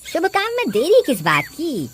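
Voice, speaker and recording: A woman voicing a greedy, excitable male sidekick thief in an animated storybook tale. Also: sits high, moderate pace, background noise. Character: jittery, greedy village thief sidekick, a man.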